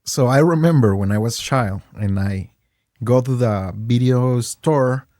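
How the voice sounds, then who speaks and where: A man's voice: mid-range voice, unhurried, warm tone, no echo, studio quality. A young man with a Mexican Spanish accent, a native Spanish speaker, chatting as a guest and searching for English words. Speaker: guest, game store owner. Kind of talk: casual interview chat.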